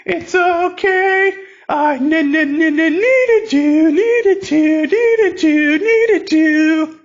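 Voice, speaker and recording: A man doing an eerie high sing-song voice. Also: extremely slow, lo-fi sound, exaggerated voice. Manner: stuttering, sing-song, drawn out.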